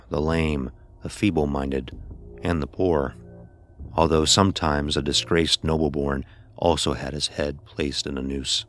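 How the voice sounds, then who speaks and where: A man playing an anguished blind youth, telling his ordeal in grave, dramatic, deliberate first-person horror narration. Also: rumbling low, a little hiss or hum.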